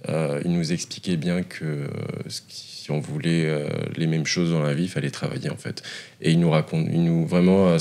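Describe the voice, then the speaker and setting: A very deep-voiced man from Morocco talks as a podcast guest. He speaks hesitantly, with plenty of fillers, and often breaks into laughter. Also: darkish tone. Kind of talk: casual interview.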